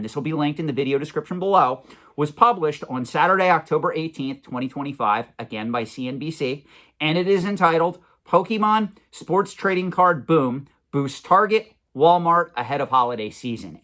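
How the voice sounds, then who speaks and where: A man with a head cold, sounding congested, delivering a one-take monologue to camera as a collectibles investment host, emphatic and prone to repeating himself. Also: medium pitch. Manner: emphatic, repeats himself, swings loud and soft. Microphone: camera mic, self-recorded.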